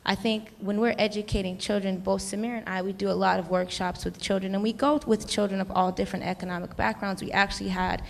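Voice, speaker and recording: Earnest gravelly woman in a big hall. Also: mid-range voice, level tone, fast talker, hardly any pauses. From United States. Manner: earnest, rambling with restarts. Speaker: panelist, music educator. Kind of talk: reflective panel discussion. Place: convention hall stage. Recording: long reverb of a large hall.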